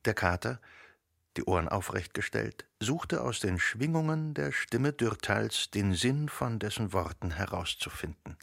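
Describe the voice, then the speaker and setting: A man with a gravelly voice narrating a literary radio drama from a script. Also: fairly low pitch, expressive, unhurried, fairly bright tone, no echo, studio quality, full character voice.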